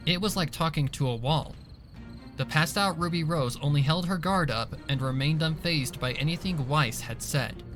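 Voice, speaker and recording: A man's voice: fairly high pitch, moderate pace. A man reading scripted dramatic narration for a fan fiction story. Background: soft music behind the voice.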